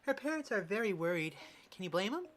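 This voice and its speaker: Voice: mid-range voice, big pitch swings, brisk, pitched above the performer's own voice, close to an everyday voice. A performer voicing a chatty, gossiping middle-aged aunt in a fan dub. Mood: gossipy. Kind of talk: amateur fan dub of a medical drama.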